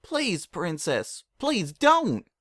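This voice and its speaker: A man's voice: high voice, wildly swooping pitch, very slow, fairly bright tone, no echo, clean studio sound, pitched above the performer's own voice, full character voice. A man voicing a stubborn, anxious female farm pony with folksy country phrasing. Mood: pleading.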